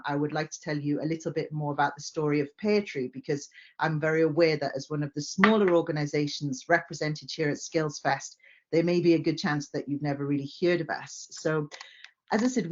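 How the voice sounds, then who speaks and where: A woman's voice: sits low, muffled recording. A Welsh woman giving a conference presentation, friendly and earnest, with frequent "uh" fillers. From Wales. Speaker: company director.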